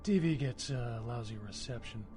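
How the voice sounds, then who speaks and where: An actor playing a gruff, lonely zombie-hunting cemetery caretaker in a video game, with a wisecracking manner. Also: male voice, medium pitch, normal speed, warm tone, soft background music, close to an everyday voice. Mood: wry, cocky. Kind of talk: video game character acting.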